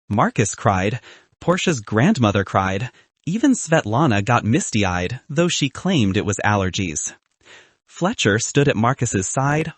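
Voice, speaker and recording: Male audiobook narrator for romantic fiction; husky tone, animated delivery, very dry close sound. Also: mid-range voice, no room sound. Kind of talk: scripted first-person romance story narration.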